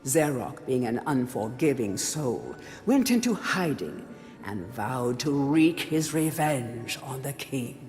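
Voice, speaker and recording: Grave fantasy chronicler, solemn theatrical storybook narration, mid-pitched, long reverb, music underneath. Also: male voice, sing-song, slow, darkish tone. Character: grave male chronicler of an old fantasy kingdom.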